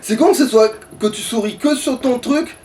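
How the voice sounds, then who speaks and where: A man's voice: very high-pitched, normal speed, darkish tone. A man gives casual game commentary. He talks in slang and swears freely. There is faint noise behind him. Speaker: let's play host. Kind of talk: casual gaming commentary with a co-player.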